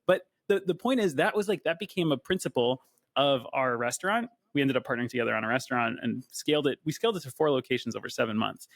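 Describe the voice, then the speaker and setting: A man co-hosting a podcast, telling a candid, animated story in casual talk. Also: medium pitch, quick pace, gravelly, no echo. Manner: animated, candid, swears freely.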